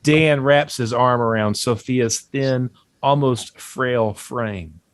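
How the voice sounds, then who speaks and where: A man's voice: medium pitch, slow, smooth, no room sound. A man reading stage directions as scripted narration at a table read.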